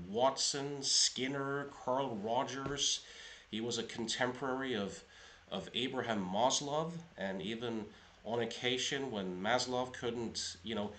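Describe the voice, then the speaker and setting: A middle-aged man from Norway, a psychotherapist, giving a measured, explanatory solo talk to camera. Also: medium pitch, bright, forceful tone. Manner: measured, explanatory, thinking aloud. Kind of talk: solo video lecture.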